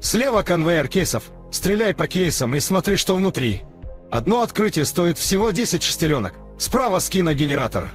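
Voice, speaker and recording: Male promo voice, slightly high, punchy scripted ad read over a faint music bed. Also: very animated, normal speed. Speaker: advertisement announcer.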